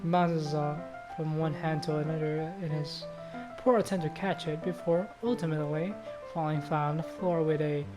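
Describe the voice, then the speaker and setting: A woman with a low voice reads visual novel narration aloud on a stream. She sometimes trips over words and goes back over phrases, while plucked guitar music plays clearly behind her. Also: steady tone, normal speed.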